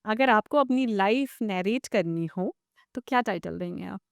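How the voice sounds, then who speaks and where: A woman's voice: medium pitch, lively intonation, moderate pace, gravelly, no echo, studio quality. A woman with an Indian accent, chatting casually as the host of an interview podcast.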